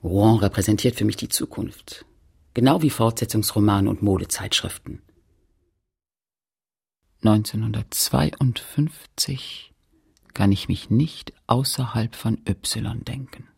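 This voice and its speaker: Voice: mid-range voice, slow, vocal fry, darkish tone, breathy edge, exaggerated voice. An actor playing a terse, factual narrator of a post-war French town in a literary radio play, with clipped statements. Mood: detached, deliberate.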